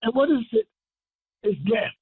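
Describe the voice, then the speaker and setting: A man's voice: very high voice, normal speed, slightly rough, no echo. Older male guest lecturer, emphatic and rising, thin dry phone-line sound. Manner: emphatic, rising to forceful declarations. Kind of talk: impassioned radio lecture.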